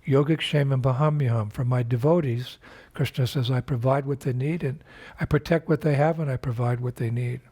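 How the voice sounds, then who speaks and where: An older man, a spiritual teacher, recording a video message in an unhurried, reflective way, pausing often with fillers and restarts. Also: mid-range voice, fairly even intonation, darkish tone, breathy edge.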